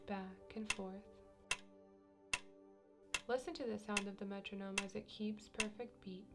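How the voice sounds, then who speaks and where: A woman in an ASMR sleep hypnosis roleplay as a piano teacher, speaking slowly and softly with gentle command, repeating phrases and slipping into whispers. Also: medium pitch. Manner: slow, soft, repetitive and gently commanding; drops into whispers at times. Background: ticking metronome and music.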